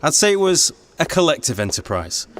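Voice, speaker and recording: Radio drama: theatre-company founder, persuasive and conciliatory yet insistent, lively intonation. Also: male voice, fairly high pitch, fairly quick. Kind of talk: audio drama acting.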